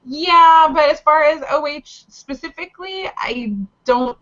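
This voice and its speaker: A woman's voice: high voice, unhurried, fairly bright tone. A woman from Canada chatting casually as a panelist on an online show, playful and sarcastic, on slightly dull lo-fi audio. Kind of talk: casual group chat.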